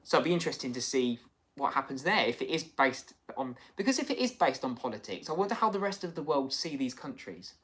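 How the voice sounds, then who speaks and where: A man's voice: medium pitch, big pitch swings, a little fast, a bit bright. A man hosting a casual livestream chat, reading out viewer comments in a rambling, chatty way. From United Kingdom. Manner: chatty, rambling, many fillers and restarts. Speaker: livestream host.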